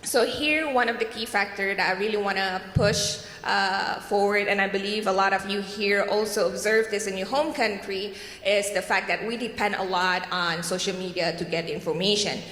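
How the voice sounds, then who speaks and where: A woman's voice: medium pitch, steady tone, a bit bright. Malaysian woman presenting in an echoey hall. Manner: halting, frequent fillers and "what we call it". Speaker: conference panelist. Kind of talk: panel presentation. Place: conference hall.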